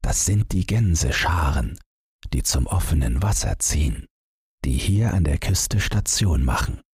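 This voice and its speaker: Very deep, husky male storyteller. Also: a little mellow, no echo, clean studio sound. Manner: measured, dramatic storytelling. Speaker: audiobook narrator. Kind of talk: scripted literary narration of a Christmas story.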